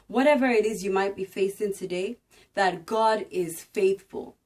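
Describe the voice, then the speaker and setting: Slow, earnest woman giving a devotional. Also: medium pitch, a little mellow. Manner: earnest, emphatic, exhorting. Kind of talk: devotional talk and dramatic scripture narration.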